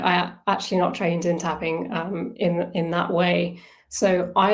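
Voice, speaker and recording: A woman's voice: on the low side, a little mellow. A woman facilitating an informal online wellbeing workshop, talking conversationally, thinking aloud and putting questions to her listeners. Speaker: workshop facilitator on self-soothing.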